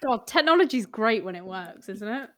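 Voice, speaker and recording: A woman hosts a podcast in a chatty, casual way. She is bubbly and lets a swear word slip now and then. Her voice sits somewhat high. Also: lively intonation, moderate pace, a bit bright. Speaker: podcast host.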